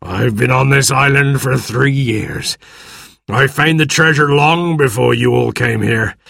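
A man's voice: medium pitch, unhurried, creaky, fairly bright tone, no room sound, studio quality, voice pushed up, full character voice. A man voicing a cheerful, eccentric marooned castaway in a storybook. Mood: proud, amused.

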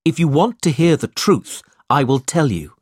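A man's voice: medium pitch, big pitch swings, unhurried, a little mellow, husky, no room sound, studio quality. A man voicing a brave, protective young man in a storybook, speaking earnestly and with defiance. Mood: indignant.

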